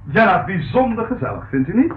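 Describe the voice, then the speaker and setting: A man's voice: high-pitched, sing-song, moderate pace. An actor playing a fussy, easily scandalised male estate agent in a Dutch radio play, with formal, pompous patter. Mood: effusive, salesmanlike. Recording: muffled old radio recording, faint noise.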